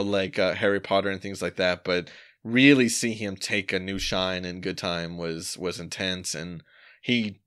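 Laid-back, lower-pitched male podcast host. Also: a bit bright, clear, resonant tone. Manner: laid-back, laughs often, leads into segments. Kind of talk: casual film-podcast chat.

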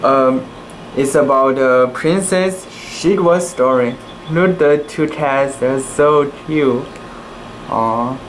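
A young man reading a children's book aloud in a casual, halting way, with cooing asides about the pictures. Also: medium pitch, slight background noise, poor audio. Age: young adult.

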